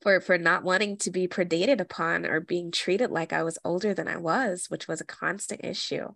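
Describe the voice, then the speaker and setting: A young adult woman co-hosting a podcast in casual chat, animated and laughing often, speaking from the belly in a feminine voice. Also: on the low side, moderate pace, vocal fry, dry recording. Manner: animated, laughs often, many fillers and restarts. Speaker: podcast co-host.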